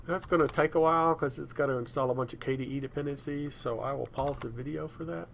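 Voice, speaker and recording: A man presents a software demo in a casual, rambling way, thinking aloud as he goes. His medium-pitched voice has some creak. Faint room noise and keyboard typing sit behind him. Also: steady tone, fast talker. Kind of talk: casual screencast demonstration. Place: indoors at a computer.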